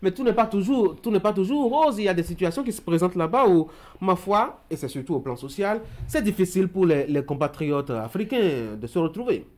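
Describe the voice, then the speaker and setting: Lively man hosting a talk show. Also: sits high, a little fast, few pauses. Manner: prompting with short questions and backchannels. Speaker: host.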